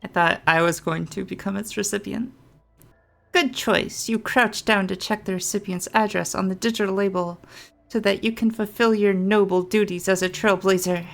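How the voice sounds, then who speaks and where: A woman's voice: mid-range voice, vocal fry. A woman doing casual gameplay commentary, playful and switching into reading game text aloud. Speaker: game streamer.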